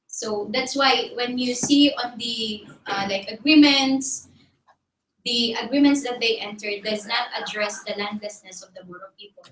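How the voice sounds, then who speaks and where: A woman from the Philippines, giving an explanatory talk as a guest speaker in a measured way, pausing often on fillers. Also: fairly high pitch, a bit bright, a little hiss or hum. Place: university classroom. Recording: echoey room, distant mic.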